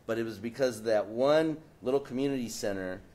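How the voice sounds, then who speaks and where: A man's voice: medium pitch, a little fast, slight background noise. San diego guy on a panel, chatty, keeps restarting sentences, mid voice. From San Diego, United States. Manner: animated storytelling with frequent restarts and asides. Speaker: panelist, artist. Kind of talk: panel conversation, anecdotes.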